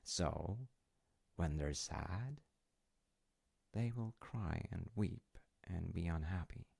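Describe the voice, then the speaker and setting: A man playing a gentle, loving, wise dad in an intimate audio roleplay, speaking tenderly and hesitantly in short phrases with a gravelly, breathy voice. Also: low voice, slow, no room sound, full character voice. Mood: warm, tender. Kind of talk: intimate audio roleplay, improvised-sounding storytelling.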